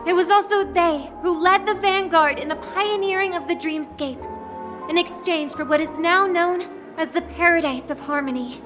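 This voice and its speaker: An actress playing a poised young woman who gives a formal, gracious ceremonial speech in a video game. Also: extremely high pitch. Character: poised young woman, festival hostess of a ruling family. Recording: orchestral game music behind. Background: music.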